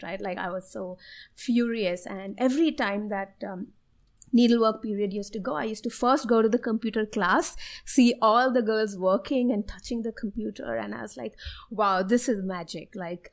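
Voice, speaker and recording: A woman in her thirties from India, speaking English with an Indian accent, whose first language is Hindi, telling her life story as an interview guest in an earnest, animated way. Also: a little high. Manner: earnest and animated, laughs often while telling stories.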